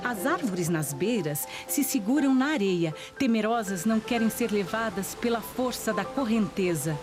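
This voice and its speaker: A woman reading a children's poem aloud in a public service ad, gently, like telling a story. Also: medium pitch, lively intonation, moderate pace. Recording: voice over clear music.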